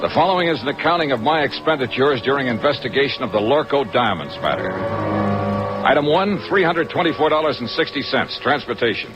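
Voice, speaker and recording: A muffled old radio recording with music behind an actor as a wry American detective. His medium-pitched voice moves expressively as he narrates and trades sardonic, breezy wisecracks. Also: expressive, brisk, close to an everyday voice. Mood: dry, matter-of-fact. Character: wry, wisecracking American freelance insurance investigator. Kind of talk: old-time radio detective acting and first-person narration.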